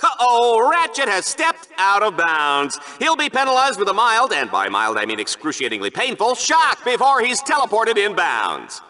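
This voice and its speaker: A man's voice: sits high, huge pitch swings, normal speed, few pauses, pushed and bright, exaggerated voice. An actor playing a vain, goofy male game-show commentator with a showy, bombastic, smug delivery for a video game. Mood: excited. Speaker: sports show announcer.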